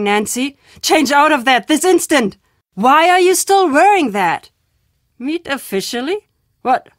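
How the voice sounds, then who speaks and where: A woman's voice: on the high side, no echo. Mysterious wandering storyteller lady, stern and foreboding, video game voice, dry close sound. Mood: angry, scolding, then wry, cryptic. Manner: cryptic, stern, deliberate phrasing. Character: enigmatic, foreboding woman, traveling storyteller of fate and tragedy. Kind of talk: adventure game character acting.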